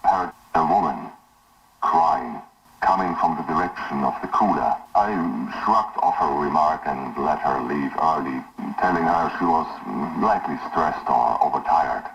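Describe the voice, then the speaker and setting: A man playing a weary, clinical coroner dictating case logs in a formal, halting way. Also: creaky, fairly bright tone, husky, full character voice. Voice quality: very deep voice. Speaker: medical examiner. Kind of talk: dictated audio log in analog horror. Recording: muffled noisy old tape, distant mic.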